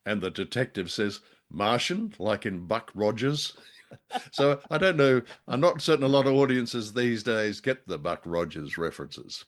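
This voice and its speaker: A man co-hosting a discussion of old radio drama, chatting casually in a hesitant, wry way that tends to wander. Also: low-pitched. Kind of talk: casual chat, review commentary.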